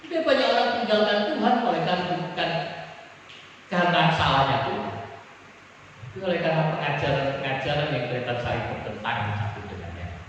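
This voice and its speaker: A man preaching a Sunday sermon as pastor, telling stories and acting out voices with big jumps in pitch. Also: very animated, fast talker, a bit bright, muffled recording, a little hiss or hum. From Kalimantan. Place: church hall. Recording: echoey church hall from far off.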